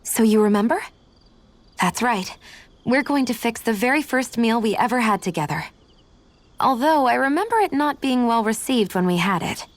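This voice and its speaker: A woman playing a composed, quietly affectionate young adventurer in a video game, with a gently teasing manner. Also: fairly high pitch, lively intonation, normal speed, dry recording. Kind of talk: video game cutscene acting.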